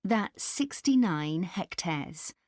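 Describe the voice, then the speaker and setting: A woman playing a helpful country park staff member in a scripted dialogue, explaining things deliberately. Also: fairly low pitch, lively intonation, darkish tone, no echo, clean studio sound. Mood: helpful, informative. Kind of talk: scripted listening-test dialogue.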